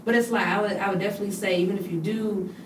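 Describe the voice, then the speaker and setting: A woman's voice: mid-range voice, steady tone, rapid-fire, slight background noise. Blunt, swearing woman in an echoey room. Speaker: podcast guest. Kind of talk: casual chat. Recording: echoey room, mic at a distance.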